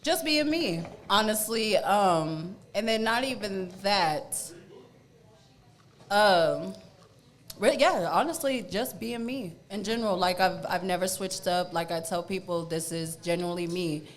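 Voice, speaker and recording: A woman comedian, talking loudly and jokingly in a casual podcast interview. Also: mid-range voice, a little slow. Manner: loud, lively and joking, with a strong voice.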